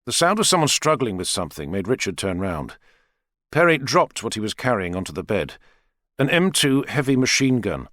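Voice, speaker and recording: A man narrating a thriller novel as an expressive, theatrical audiobook reader. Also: fairly low pitch, normal speed, no room sound, studio quality.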